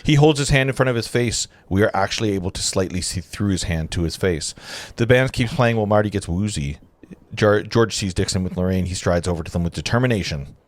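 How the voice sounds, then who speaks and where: A man's voice: fairly low pitch, a little fast, no echo. A man narrating a film script's stage directions in a casual table read.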